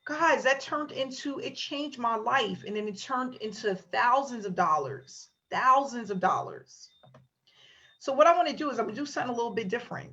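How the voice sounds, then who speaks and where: A woman hosting a motivational webinar, telling her story in an animated way and acting out the quotes. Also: fairly high pitch. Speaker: webinar host. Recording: lo-fi online call audio.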